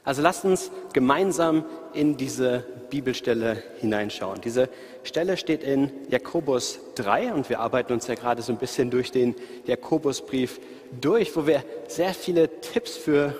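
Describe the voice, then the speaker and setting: A man from the Ruhr area with a light Ruhr accent, preaching in a relaxed, conversational way and talking straight to his listeners. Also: mid-range voice, normal speed. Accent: light Ruhr German. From Ruhr area, Germany. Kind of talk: sermon. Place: church hall, live audience and online stream. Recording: reverberant hall.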